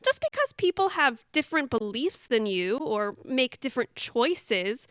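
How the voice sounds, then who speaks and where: Bright, lively sarcastic grown daughter. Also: female voice, high-pitched, unhurried, no echo, exaggerated voice. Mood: sarcastic, defiant. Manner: dry, exasperated sarcasm. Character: sarcastic, defiant grown daughter and sister returning home. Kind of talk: audio theatre acting.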